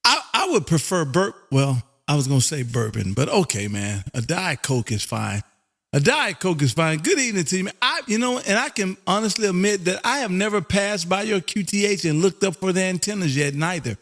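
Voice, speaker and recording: An American man hosting a ham radio stream, chatting in a loud, folksy, chummy way and often saying "man". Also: high-pitched, very animated, fairly quick. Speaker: amateur radio operator and livestream host. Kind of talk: casual ham radio contact chat.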